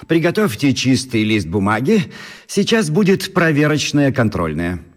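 Plump little maths teacher, highly varied intonation, commanding, dictating, official, children's radio play. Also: male voice, wildly swooping pitch, soft background music. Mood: stern, official. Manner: brisk commands, throat-clearing, dictating. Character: short plump bald male math teacher giving a test.

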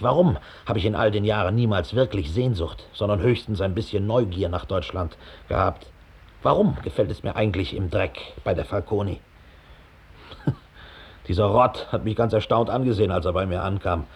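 An actor playing a cold, self-satisfied middle-aged former Gestapo officer in a measured, contemptuous radio play monologue. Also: male voice, low-pitched, a little mellow. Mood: brooding, sardonic. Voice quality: gravelly, husky. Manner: measured, coldly matter-of-fact, contemptuous.

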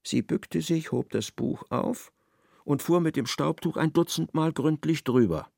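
Male radio-play narrator, measured and ironic, gravelly voice, dry studio sound. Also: fairly high pitch, a little mellow, no echo.

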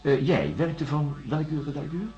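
An actor playing a calm, patient male psychiatrist in a science fiction radio drama, speaking formally and deliberately. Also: a little high. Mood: calm, probing. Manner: measured, formal, deliberate phrasing with pauses. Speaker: doctor. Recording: dull lo-fi radio recording, music.

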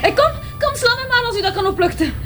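A woman's voice: very high voice, animated, fast, music in the background. An actress playing a defiant woman suspect in a radio drama, mocking and scornful.

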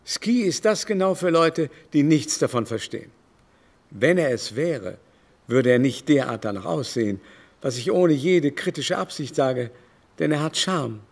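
An actor in a German radio play voicing a vain, haughty older baron with a mannered, theatrical, confiding delivery. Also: male voice, a little high, expressive, creaky, full character voice. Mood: coy, amused. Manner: mannered, theatrical, drawn-out emphasis.

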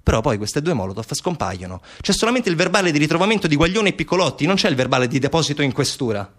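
A man's voice: on the high side, very animated, fast, fairly bright tone. A man performing a narrative stage monologue as an actor, dramatic yet conversational, posing rhetorical questions.

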